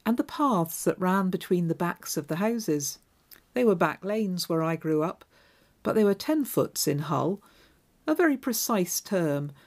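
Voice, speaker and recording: Woman preacher, lowish voice, measured and clear. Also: a little mellow. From Devon, England. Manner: measured, clear liturgical delivery. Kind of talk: scripture reading and sermon.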